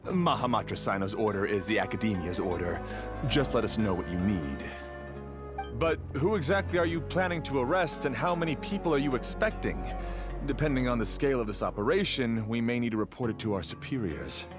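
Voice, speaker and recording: An actor playing a nervous, deferential guard officer in a video game, eager to please. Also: male voice, mid-range voice, fairly quick, music in the background, close to an everyday voice. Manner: obsequious, excitable. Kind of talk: video game character acting.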